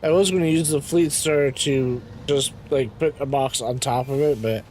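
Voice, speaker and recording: A man with a raspy voice, casually commenting to himself as he plays a game, clearing his throat now and then. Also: medium pitch, moderate pace, a little mellow, a little hiss or hum. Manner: muttering to himself while playing, frequent ums and throat-clearing. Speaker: game streamer.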